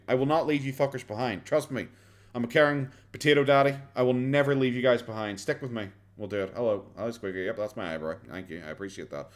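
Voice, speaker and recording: An Irish man streaming a casual reaction chat, sarcastic, swearing often and laughing a lot. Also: medium pitch.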